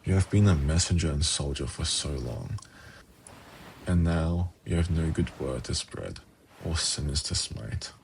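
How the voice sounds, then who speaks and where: Demon prince, male performer: rock-bottom pitch, heavy fry, suave and teasing, intimate ASMR roleplay. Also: lively intonation, darkish tone, a little hiss or hum, exaggerated voice. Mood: musing. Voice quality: very low, gravelly, husky. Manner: slow, coaxing, teasing. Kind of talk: ASMR fantasy roleplay, intimate monologue to listener.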